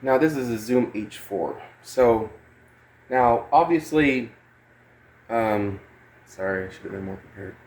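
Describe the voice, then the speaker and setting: A man's voice: medium pitch, measured pace, long pauses, darkish tone. A man giving a casual, unscripted music gear demo in a rambling, hesitant, sweary way. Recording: clear background noise.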